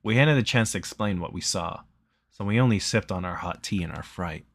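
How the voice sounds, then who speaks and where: A man's voice: sits low, brisk, fairly bright tone, dry recording. A man reading visual novel narration aloud during a let's play.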